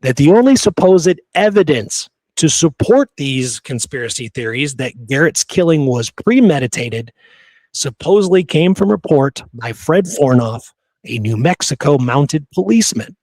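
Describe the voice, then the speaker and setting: A man hosts a history podcast in an unpolished, conversational style. He is blunt and opinionated, and his medium-pitched voice is recorded very dry, with hardly any room around it. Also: expressive, normal speed, a little mellow, no echo, poor audio. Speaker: podcast host. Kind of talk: casual history discussion.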